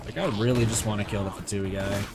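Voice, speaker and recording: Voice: low voice, steady tone, fast talker. A game streamer giving casual live commentary in playful, muttered asides. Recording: loud game music behind commentary.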